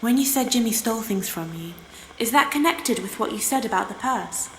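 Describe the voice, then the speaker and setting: An actress as a woman investigating a haunting in a horror game. Her voice is on the high side, with a direct, questioning delivery. It echoes, and noise is clearly heard behind it. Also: animated, fairly quick, lightly acted. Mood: probing. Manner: direct, inquisitive.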